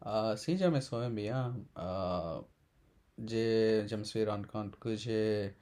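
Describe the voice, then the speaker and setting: A man in his twenties from India, a Telugu speaker, talking in halting learner's French with many pauses and self-corrections. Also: on the low side, mellow. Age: 20s. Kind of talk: casual unscripted monologue.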